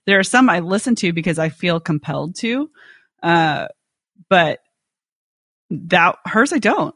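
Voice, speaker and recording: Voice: mid-range voice, fairly bright tone, no echo, clean studio sound. A podcast guest talking casually and agreeably about their industry. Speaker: podcast guest, insurance software company staff.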